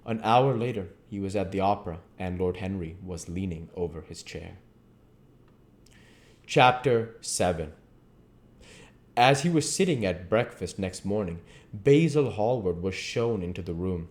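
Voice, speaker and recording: A man reading literary fiction aloud in a deliberate, theatrical way. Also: sits low, animated, darkish tone. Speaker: reader, channel host. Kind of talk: literary audiobook-style reading.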